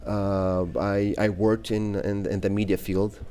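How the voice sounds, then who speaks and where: A man in his thirties from Iraq speaks as a guest in a casual interview. His voice sits very low and has a dark, mellow tone. He talks haltingly, with frequent fillers and restarts. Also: flat delivery, clean tone. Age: 30s. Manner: hesitant, many fillers and restarts.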